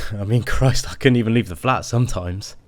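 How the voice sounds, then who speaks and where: A man's voice: fairly low pitch, close to an everyday voice. An actor playing a worried, exhausted new father in an audio drama, earnest and stammering under strain. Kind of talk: naturalistic audio drama acting.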